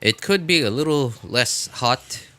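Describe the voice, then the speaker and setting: A man's voice: mid-range voice, animated, measured pace, pushed and bright. A man live-streaming games, chatting casually with viewers in an informal, rambling way and repeating phrases for emphasis.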